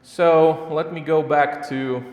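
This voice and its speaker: A man's voice: a little high, steady tone, slow pace, darkish tone, highs cut off. A man with a Romanian accent gives a live conference talk in a chatty, self-mocking way, full of restarts and fillers. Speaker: conference speaker. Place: conference hall before a live audience. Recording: echoey hall with a long reverb.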